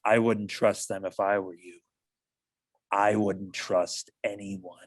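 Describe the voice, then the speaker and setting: An actor as a morose bitter donkey, deadpan, blunt, slow, dry close sound. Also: sits low, no room sound. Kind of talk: adult comic stage play reading.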